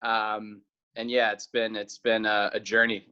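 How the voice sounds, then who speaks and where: Even-toned man, dull lo-fi audio. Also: fairly low pitch, unhurried, clean tone. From South Carolina, United States. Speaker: interview guest, company founder. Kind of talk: conversational interview answers.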